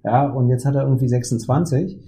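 A man's voice: mid-range voice, fairly even intonation, mellow. A man talking casually in a podcast conversation, often stopping with fillers and restarting his sentences. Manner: halting, many fillers and restarts. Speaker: committee lead of an association.

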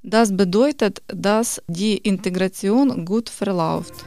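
Deliberate woman in her thirties. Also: mid-range voice, over music. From Ukraine. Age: 30s. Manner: steady, deliberate learner's German. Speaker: course participant. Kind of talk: reading a personal statement.

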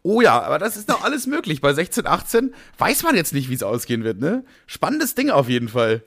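A man's voice: fairly high pitch, big pitch swings, a little fast, a bit bright. A young man co-hosting a podcast in casual, humorous chat, slangy and rambling. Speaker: podcast co-host.

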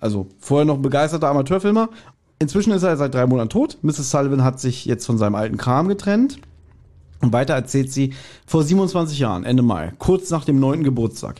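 A man co-hosts a podcast in a casual, chatty way. He has a mid-pitched voice and retells a story conversationally, dropping in little acted quotes as he goes. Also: very animated, fast, darkish tone. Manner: chatty, acts out short quotes. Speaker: podcast co-host. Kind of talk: casual podcast chat retelling an audio drama episode.

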